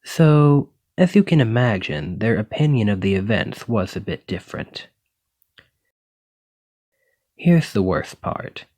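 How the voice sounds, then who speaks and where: A man playing a gentle, bookish dragon-blooded librarian and devoted boyfriend in an ASMR roleplay, speaking in an earnest, reassuring way. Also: fairly low pitch, lively intonation, slow, long pauses, heavily acted. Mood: earnest, explanatory.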